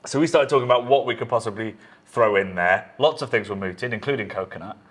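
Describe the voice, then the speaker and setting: A man in his thirties hosting a casual interview at a brewery taproom table, speaking in a measured way that fades softly at the ends of phrases. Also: on the low side, slightly rough. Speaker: host. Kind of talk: interview chat.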